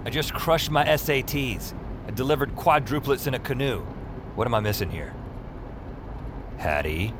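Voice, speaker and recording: A man's voice: medium pitch, lively intonation, moderate pace, long pauses, noisy background, natural voice. A man playing a cocky, restless, wisecracking novice spellcaster who narrates his own audio drama in a wry, conversational way. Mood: eager, puzzled. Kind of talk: first-person audio drama narration and acting. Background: music.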